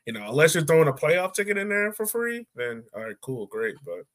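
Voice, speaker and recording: A man's voice: on the high side, sing-song, a little fast, fairly bright tone. A man co-hosting a sports podcast in a casual, laid-back way with hesitant phrasing. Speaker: soccer podcast co-host.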